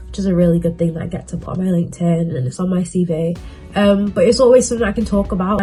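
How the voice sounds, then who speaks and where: A woman's voice: mid-range voice, warm tone. A young adult woman, a university student, giving casual career advice in a chatty, self-assured way with plenty of fillers.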